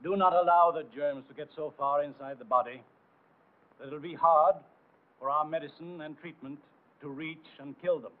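A man's voice: fairly high pitch, fairly even intonation, normal speed. A man narrating a 1940s military training film in a formal, authoritative, didactic and emphatic scripted style. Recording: noisy, tinny 1940s film soundtrack.

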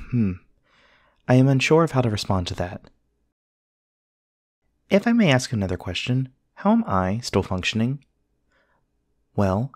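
A man plays a courteous male android companion in an audio roleplay. His voice sits fairly low and is recorded close and dry. He speaks with formal, careful precision, earnest and literal. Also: darkish tone, no room sound, natural voice. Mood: polite, thoughtful, then matter-of-fact. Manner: formal, measured, precise diction. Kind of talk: scripted ASMR roleplay acting.

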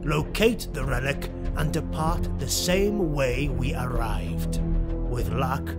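A cold, vengeful elf lord, acted out by a male narrator in a fantasy audiobook. He speaks slowly and imperiously, pressing each order home with force, with music underneath. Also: mid-range voice, close to an everyday voice.

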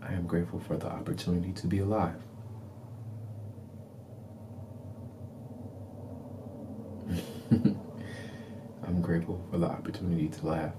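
Deep voiced guy doing guided meditation, calm n soothing, pretty monotone, short repeated lines. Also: noisy background. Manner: calm, deliberate, soothing instruction.

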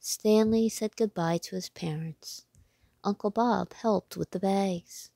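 A woman narrating a children's storybook aloud in a measured, clearly phrased way. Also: mid-range voice, warm tone, no room sound, studio quality.

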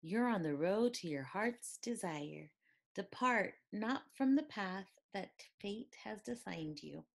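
Slow, swooping woman reading a storybook. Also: medium pitch, gravelly. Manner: expressive, deliberate reading with questioning lilts. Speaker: storybook reader. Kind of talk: picture book read-aloud.

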